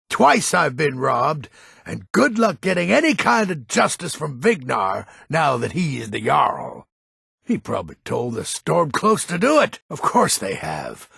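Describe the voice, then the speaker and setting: A grumpy old warrior nobleman from a fantasy game, with an extremely raspy, husky voice. He is proud and blustering, drawing his words out slowly and swinging widely in pitch for haughty emphasis. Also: pushed and bright, no room sound, clean studio sound, exaggerated voice. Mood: indignant. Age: older. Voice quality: gravelly, raspy. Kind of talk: fantasy video game character acting.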